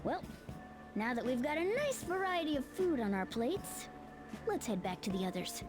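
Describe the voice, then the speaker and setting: A small game mascot cat, high and very lively in pitch. He scolds and explains with cheeky self-importance. Loud game music plays behind him. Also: moderate pace. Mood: earnest, explaining. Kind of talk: video game voice acting.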